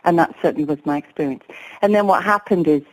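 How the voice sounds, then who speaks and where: A woman's voice: low voice, rough recording. A middle-aged woman speaks as a guest on an interview show. She talks in a reflective, hesitant way, stopping often to search for words. Her tone stays open. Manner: soft, hesitant, reflective, with pauses and fillers.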